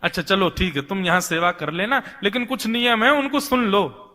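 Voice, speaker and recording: A man's voice: high voice, normal speed, bright, forceful tone. A man voicing a kindly, humble old householder in a devotional story, homely and fond in his way of talking. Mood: kindly, instructing. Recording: long hall reverb.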